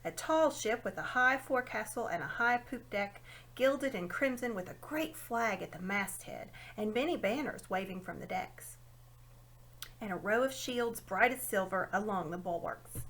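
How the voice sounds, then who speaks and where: A woman giving an expressive read-aloud narration of a children's fantasy story. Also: mid-range voice. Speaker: storybook reader.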